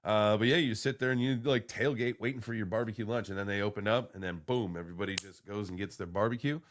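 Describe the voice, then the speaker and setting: Livestream host: American man, early forties, lower-pitched, laid-back and informal, loose off-the-cuff chat. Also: fast talker. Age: early 40s. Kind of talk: casual, rambling morning livestream chat.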